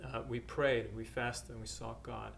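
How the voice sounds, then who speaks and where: A man's voice: medium pitch, little pitch movement, a little slow, creaky, a bit bright. Hesitant Norwegian-born missionary man. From Norway. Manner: hesitant, many uhs and restarts. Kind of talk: personal testimony to camera. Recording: faint background noise.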